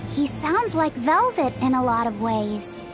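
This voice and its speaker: A woman's voice: high voice, sing-song, measured pace, music in the background. A woman playing an earnest, proper young crewmate in a video game, polite and inquisitive. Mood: thoughtful.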